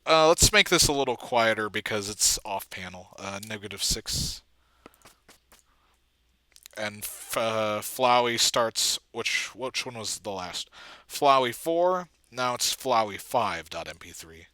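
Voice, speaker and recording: Man, sharp bright voice, slow pace, dry close sound, halting, thinking aloud, casual. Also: fairly low pitch, lively intonation, dry recording. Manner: halting, self-deprecating, muttering to himself. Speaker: video editor and comic dubber. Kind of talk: casual thinking-aloud screen-recording commentary.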